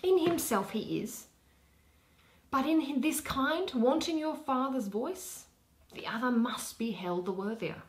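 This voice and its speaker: A woman voicing a stately, commanding duke in Shakespearean verse, with a measured, declamatory delivery. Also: sits high, lively intonation, roomy sound, pitched above the performer's own voice, low-quality recording. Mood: stern, advising. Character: stately duke, eager bridegroom and stern judge.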